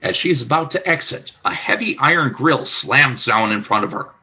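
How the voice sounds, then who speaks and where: A man narrating a scripted radio play as announcer, in a formal, deliberate storytelling manner. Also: mid-range voice, expressive, sharp tone, husky.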